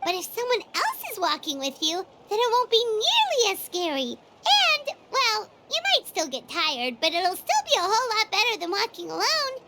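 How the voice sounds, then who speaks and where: A woman's voice: extremely high pitch, wildly swooping pitch, moderate pace, few pauses, noisy background, full character voice. A woman voicing a small, childlike, chirpy fairy companion in a video game, chatting away with music behind. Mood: chirpy, earnest. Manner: speaks of self in third person, childlike. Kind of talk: video game character dialogue.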